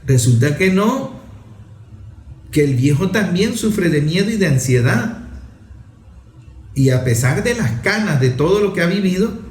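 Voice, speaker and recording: A man's voice: sits high, expressive, a little slow, long pauses, darkish tone, roomy sound. A man giving an emphatic opinion monologue, listing his points and asking rhetorical questions.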